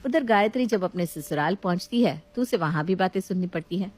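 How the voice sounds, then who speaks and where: A woman's voice: on the low side, lively intonation, a little fast, darkish tone. A woman storyteller reading scripted narration of a moral family story.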